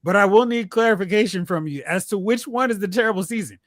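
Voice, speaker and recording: A man co-hosting a casual pop culture chat, talking in an animated, opinionated way. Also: high voice, quick pace, dry recording. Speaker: podcast co-host.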